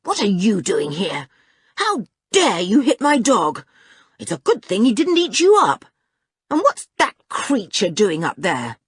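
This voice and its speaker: A woman's voice: on the low side, big pitch swings, unhurried, no room sound, studio quality. A woman voicing a bold, hot-tempered girl, blunt and indignant, in a children's story. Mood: angry. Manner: indignant, snappy. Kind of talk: children's audiobook character voice.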